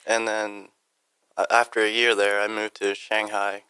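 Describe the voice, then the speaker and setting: A man's voice: lowish voice, flat delivery, a little slow, bright, forceful tone, clear, resonant tone, no room sound, poor audio. A seventeen-year-old young man from Texas giving brief, hesitant answers in a casual interview. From Texas, USA, from about age five. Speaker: radio station intern, interview guest. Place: radio studio.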